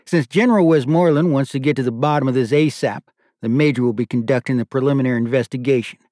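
A man voicing an authoritative middle-aged army colonel who talks in a commanding, booming way. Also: fast talker, darkish tone, dry recording, studio quality, lightly acted. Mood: formal.

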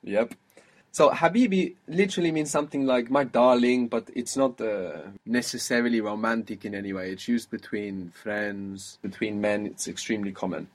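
Husky-voiced male language teacher. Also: fairly low pitch. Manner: patient, explanatory, spells out sounds slowly. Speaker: Arabic teacher. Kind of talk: one-on-one language lesson.

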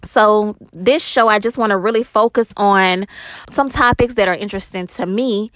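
A woman's voice: medium pitch, moderate pace, a bit bright, dry recording. Candid woman in her late thirties. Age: 30s. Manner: candid, reflective, with frequent fillers. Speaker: podcast host. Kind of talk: solo podcast monologue.

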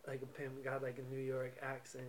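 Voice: medium pitch, monotone, brisk, crowd noise. A podcast co-host in casual chat who gives dry, short replies.

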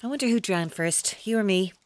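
A woman acting in an audio drama, playing a scheming singer who seems kind and is quietly menacing, composed and pointed. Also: medium pitch, lively intonation, fairly quick, close to an everyday voice.

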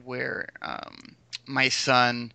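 A man in his thirties, a guest in a casual podcast chat, animated and joking, swearing now and then. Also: medium pitch, very slow, gravelly, clear, resonant tone, no echo.

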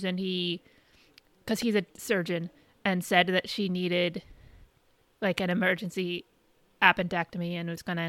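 A woman podcast co-host chatting casually in a halting, conversational way, recapping what she has been watching. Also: mid-range voice, sharp tone, no room sound. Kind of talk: casual chat, recapping shows and films.

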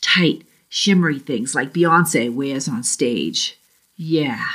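A woman from Boston's South Shore with a Boston accent, reading her own first-person short story aloud in a measured, deliberate way. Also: a bass voice, a bit bright.

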